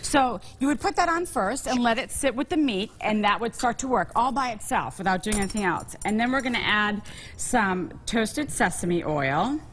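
A woman's voice: fairly high pitch, animated, normal speed, slight background noise. A middle-aged woman guest chef giving a cooking demonstration on a TV show, friendly and explanatory with frequent fillers. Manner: friendly explanatory, with many "um"s and "you know"s. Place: TV studio kitchen set.

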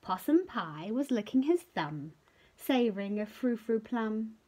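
A middle-aged woman reads a children's story expressively, with playful drawn-out emphasis and hushed moments. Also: a little high, big pitch swings, breathy edge. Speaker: picture-book reader. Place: small furnished room at home.

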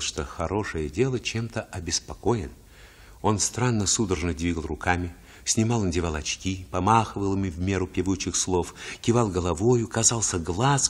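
A man's voice: deep voice, breathy edge. An actor playing a reflective man who narrates memories of his boyhood in a radio play.

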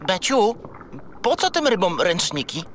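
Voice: medium pitch, big pitch swings, a little slow, a crowd in the background. An actor playing an earnest, quirky male furry little creature in a children's audio play, with a pompously polite manner. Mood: curious.

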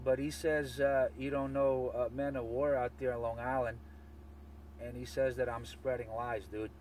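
A man's voice: medium pitch, little pitch movement, moderate pace, darkish tone. A man chatting casually as a CB radio operator, heard through a radio transmission. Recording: distant, slightly noisy CB radio signal.

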